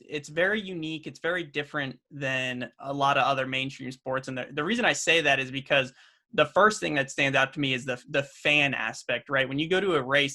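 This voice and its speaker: Young male guest, slightly high, bright tone, earnest and chatty. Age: young adult. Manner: earnest, upbeat, with frequent fillers and restarts. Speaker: race car driver guest. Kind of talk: casual podcast interview.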